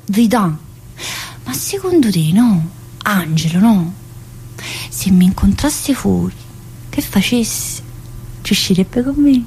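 A woman's voice: mid-range voice, big pitch swings, slow, pauses often, dark tone, slight background noise, rough recording. An actress playing a talkative, needy, restless young mother in prison, in a theatrical monologue with colloquial southern Italian speech and insistent repetitions. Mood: dreamy, insistent. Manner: colloquial dialect, insistent repetitions, addressing a listener. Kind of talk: theatrical monologue, radio drama.

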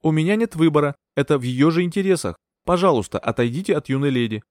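A man playing a calm, cold doctor. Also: on the high side, quick pace, dry recording, clean studio sound, voice pushed up, natural voice. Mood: cool. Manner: calm, smooth, condescending. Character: calm, cold-mannered male doctor, sly.

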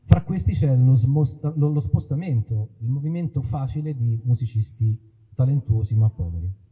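A man's voice: mid-range voice, lively intonation, normal speed, dark tone. A man hosting a live outdoor show in an olive grove, talking to the crowd with wry, mock-solemn stage patter. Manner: wry, mock-solemn, playfully self-interrupting. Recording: husky voice over a tinny, phone-like line.

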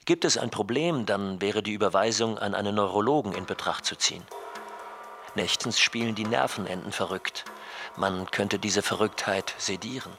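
A man's voice: lowish voice, normal speed, bright, forceful tone, faint music behind. A man playing a detached physician in a radio play, reciting clinical facts in a precise, matter-of-fact way. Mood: coolly advising. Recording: clear background noise.

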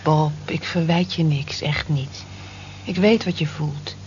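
A lonely housewife in her forties in an intimate radio drama. She speaks low and very slowly, soft and confiding, with gentle wistfulness. The old recording sounds muffled. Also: steady tone, a little hiss or hum. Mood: gentle, resigned. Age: 40s. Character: lonely working-class housewife of forty-four, gentle, reflective, wistful. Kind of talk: naturalistic radio play acting, intimate monologue.

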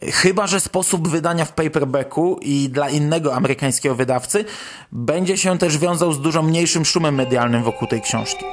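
A man with a slightly high voice hosts a podcast. He delivers scripted news items as a presenter, moving through them in an organised narration. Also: moderate pace. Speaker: podcast host.

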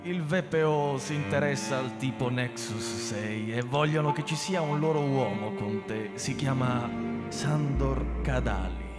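Actor as a slow-talking male police superior. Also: medium pitch, lightly acted. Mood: businesslike. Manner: measured, businesslike. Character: measured, authoritative male police superior briefing his agent. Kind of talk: Italian radio drama acting. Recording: reverberant hall under loud music. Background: loud cello music.

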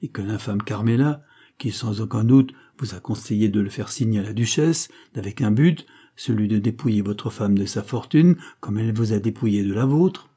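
A man's voice: fairly low pitch, moderate pace, slightly rough. A man voicing a stern older aristocrat who scornfully accuses his nephew. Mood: stern accusing. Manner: forceful, indignant reproach.

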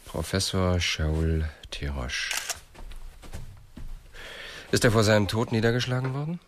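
An educated detective in a radio crime play. His voice is very low and lively in pitch, with a dry, probing manner and faint noise behind it. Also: a little slow. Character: educated male police investigator, probing and matter-of-fact. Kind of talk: radio crime drama dialogue.

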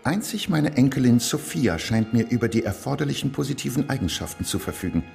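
An actor playing a stern old family patriarch solemnly delivering his testament in a radio play, measured and creaky. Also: medium pitch, lively intonation, darkish tone, faint music behind. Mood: solemn, judging. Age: older.

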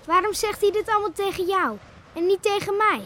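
A woman playing a lively, outspoken young village schoolgirl in a Dutch radio play. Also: very high-pitched, brisk, a little hiss or hum. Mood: curious, indignant.

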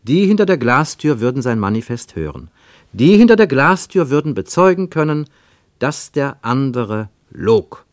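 A man reads literary prose for radio as a narrator, with deliberate, emphatic phrasing and dramatic pauses. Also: mid-range voice, big pitch swings, no room sound, clean studio sound.

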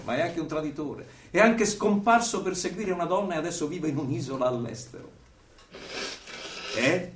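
An actor playing a coldly doctrinaire interrogator and torturer in a theatrical radio play, lecturing in a declamatory, menacing way. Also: male voice, mid-range voice. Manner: declamatory, lecturing, menacing, with sudden loud bursts. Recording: roomy, distant miking with background noise.

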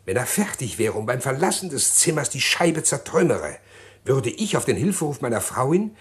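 A man acting a scheming, respectable middle-class husband in a German radio crime drama, speaking precisely and insistently in a husky voice. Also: medium pitch, very animated, moderate pace, close to an everyday voice. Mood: calculating, recounting. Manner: precise, insistent, well-spoken.

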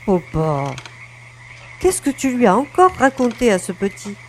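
Actress as exasperated mother, higher-pitched female voice, fond, audio drama. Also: very animated, unhurried, a little hiss or hum. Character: exasperated, fond mother, grown daughter of the storyteller. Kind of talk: French audio drama acting.

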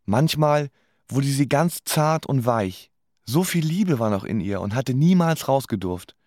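A man's voice: mid-range voice, lively intonation, a little slow, darkish tone, no echo, clean studio sound. A man narrating his own story in a comic radio play with wry, literary phrasing. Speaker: first-person narrator.

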